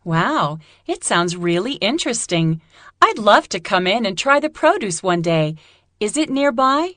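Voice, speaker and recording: A woman playing a friendly, curious young student in a scripted, clearly enunciated listening-test dialogue. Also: mid-range voice, big pitch swings, measured pace, fairly bright tone, no room sound. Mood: curious, encouraging.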